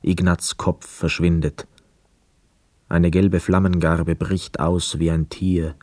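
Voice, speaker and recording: An actor in a radio play voicing a weary, melancholy young war returnee who narrates in a sombre, measured way. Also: male voice, low voice, little pitch movement, a little mellow, no room sound. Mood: tense, grave. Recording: dry studio with faint music.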